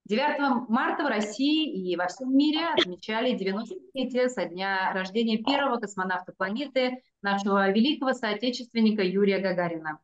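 A woman's voice: medium pitch, brisk, few pauses. A middle-aged woman giving a formal welcome speech over a video call, reading each passage in two languages. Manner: reads each passage twice, first one language then the other. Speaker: cultural center representative. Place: indoor room, video call. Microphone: video call webcam. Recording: echoey, dull video call audio.

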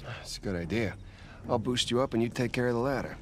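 An actor playing a brash, easygoing thief in a video game, casual and cocky. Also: male voice, medium pitch, quick pace, lightly acted. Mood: focused. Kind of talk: naturalistic video game acting. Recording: music behind the voice.

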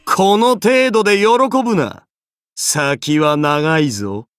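Casting: an arrogant anime game king, imperious and condescending, slow, deliberate delivery, swinging widely in pitch. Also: very animated, a bit bright, smooth, no room sound, faint music behind, full character voice. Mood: haughty, commanding. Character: arrogant golden-armored male king, haughty, easily bored. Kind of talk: dramatic anime game character voice acting.

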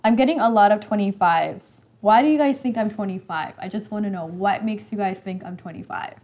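A young woman in her mid-twenties hosts a casual live stream from an indoor room, her voice a bit raspy today. She chats easily and teases her viewers as she reads their comments, with a light laugh never far off. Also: medium pitch, a little fast, a little mellow, highs cut off. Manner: chatty, teasing, laughs often. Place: indoor room with leather couch.

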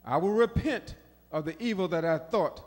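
A man standing at a mic in a small church, reading Scripture aloud in answer to the preacher, with quick amens. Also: a little high, a little mellow. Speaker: Scripture reader. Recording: reverberant church room.

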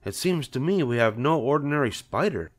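A man voicing a sharp-tongued middle-aged farm wife in a storybook reading, in a higher, tart voice. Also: animated, a little fast, close to an everyday voice.

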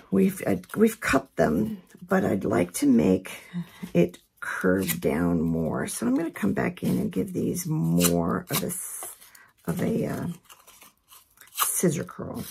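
A woman with a hoarse voice from a head cold, giving a relaxed, chatty craft tutorial at her work table. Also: fairly low pitch, takes it slowly, long pauses, a little hiss or hum. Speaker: craft instructor. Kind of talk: casual step-by-step tutorial.

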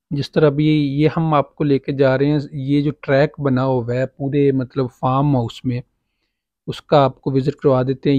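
A man's voice: mid-range voice, warm tone, no echo, lo-fi sound. Man casually guiding a property walkthrough. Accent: Pakistani Urdu. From Pakistan. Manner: casual guiding, filler-heavy, pointing things out. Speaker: property agent. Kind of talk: walking property tour. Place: outdoors on a farm property, walking.

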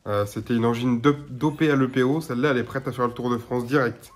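A man in his thirties with a blocked nose and slightly hoarse voice, chatting casually to his webcam at home as a gaming YouTuber, rambling with lots of filler sounds. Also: medium pitch, quick pace. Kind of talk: casual to-camera chat. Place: small room at home.

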